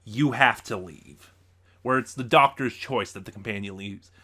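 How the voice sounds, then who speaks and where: A man giving a casual, unscripted ranking review as a video reviewer, thinking aloud in short, halting bursts. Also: fairly low pitch, pushed and bright. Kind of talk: casual review monologue ranking audio drama stories.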